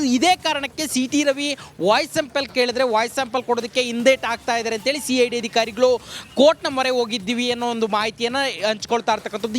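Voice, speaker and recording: A male news reporter speaks live to the studio in a strikingly high-pitched voice. He explains in wordy, formulaic phrases. There is faint ambient noise on the link. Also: fairly bright tone.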